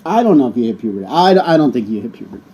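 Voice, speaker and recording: A man's voice: medium pitch, very animated, racing, mellow, slightly rough. A middle-aged man co-hosting a casual chat show, joking and teasing. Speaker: co-host.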